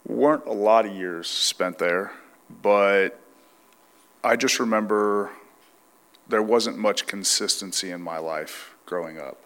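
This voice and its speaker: A man's voice: on the low side, slow pace, fairly bright tone. A young man from California, congested and under the weather, telling his life story in a plain, reflective way. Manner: reflective, plain-spoken, restarts often. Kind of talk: testimony interview.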